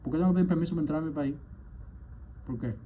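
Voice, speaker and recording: A man, a physician, delivering an impassioned spoken message, indignant and firing off rhetorical questions, at times surging louder. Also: on the high side, rapid-fire. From Cuba. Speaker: physician, rheumatologist. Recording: dull lo-fi sound with faint background noise.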